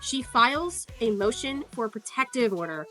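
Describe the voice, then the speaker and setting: A woman's voice: on the high side, very animated, normal speed, sharp tone, faint music behind. A woman giving casual, sarcastic commentary as she walks through court records, scornful and free with swearing. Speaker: online commentator.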